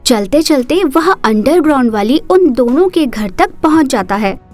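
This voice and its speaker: A woman narrating a cartoon story in a steady, expressive storytelling manner. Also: high voice, a little slow, soft background music.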